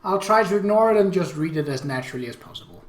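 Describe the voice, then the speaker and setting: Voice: mid-range voice, lively intonation, quick pace, roomy sound. A game host giving casual, wry commentary and reading a visual novel story aloud, with hesitant asides. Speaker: let's play host.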